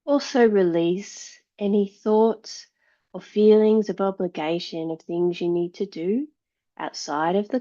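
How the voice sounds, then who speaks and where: A woman yoga teacher with a lowish voice guides a meditation at an unhurried pace. Her delivery is calm and soothing, leaving long pauses between short phrases. The recording is a little dull and muffled.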